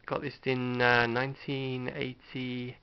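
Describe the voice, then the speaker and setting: A man's voice: mid-range voice, monotone, takes it slowly, clean tone. A middle-aged British man talking casually and unscripted in a hesitant, rambling, understated way, like a host showing off a collection. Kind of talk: casual unscripted show-and-tell vlog. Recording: dull lo-fi home recording.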